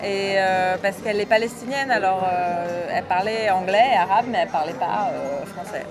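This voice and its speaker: A woman's voice: medium pitch, normal speed, music in the background. A woman from Lebanon who grew up in Paris, speaking thoughtfully with many hesitations as an interview guest. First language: Arabic. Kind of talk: casual interview.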